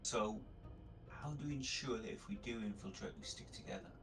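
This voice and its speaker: A man playing a tabletop role-playing game, reasoning through plans in character in a deliberate way. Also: lowish voice, fairly bright tone, distant mic, faint music behind. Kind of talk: in-character planning talk.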